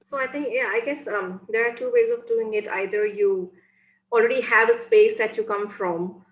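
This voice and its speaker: Woman interviewer in a roomy space. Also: on the high side. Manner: measured, hedging with uh and um. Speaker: host interviewer. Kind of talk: academic interview.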